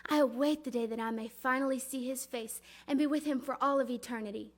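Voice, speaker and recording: Woman acting as devout grieving mother, high voice, earnest, reverent, deliberate storytelling, dramatic religious monologue. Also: fairly even intonation. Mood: hopeful, reverent.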